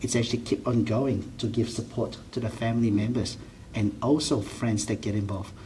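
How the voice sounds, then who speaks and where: Malaysian man, low earnest voice. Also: fairly even intonation, moderate pace, warm tone, a little hiss or hum, rough recording. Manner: earnest, with frequent restarts and non-standard grammar. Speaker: general practitioner. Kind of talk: interview answers.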